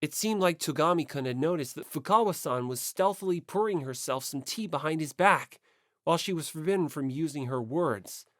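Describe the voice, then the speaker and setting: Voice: medium pitch, animated, fairly quick, no echo, clean studio sound. An audiobook narrator reading a first-person story from a script.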